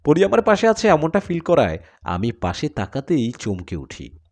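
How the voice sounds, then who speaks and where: A man's voice: fairly high pitch, huge pitch swings, moderate pace, a little mellow. A man narrating a dramatic first-person horror story.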